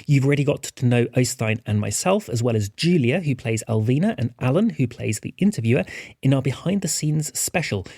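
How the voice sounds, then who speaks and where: A man's voice: mid-range voice, expressive, a little fast, creaky, a little mellow, no echo, clean studio sound. A man hosting a behind-the-scenes podcast as one of its producers, talking casually.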